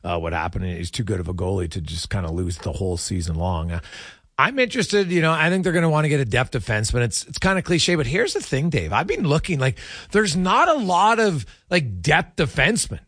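A man's voice: medium pitch, sing-song, fairly quick, fairly bright tone, breathy edge, no room sound, studio quality. A man hosting a casual sports talk show, conversational and often checking in with his guest.

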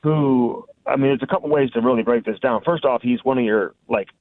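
A man's voice: medium pitch, a little fast, no echo, phone-quality sound. A man giving conversational, off-the-cuff sports analysis as a reporter guesting on a radio show. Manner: conversational, frequent "you know" and "listen".

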